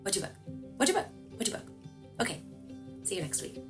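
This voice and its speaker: A woman's voice: mid-range voice, a little fast, gravelly. Chatty woman coaching with music behind. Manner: lively, chatty, animated hand gestures. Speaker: voice-over coach. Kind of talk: casual tutorial to camera. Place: small room at home, indoors.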